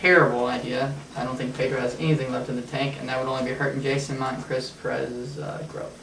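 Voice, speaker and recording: A man's voice: medium pitch, little pitch movement, fast, creaky, fairly bright tone, a little hiss or hum. A man a little under the weather, co-hosting a casual sports chat and giving blunt opinions with plenty of fillers. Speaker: podcast co-host. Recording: echoey room, far from the mic.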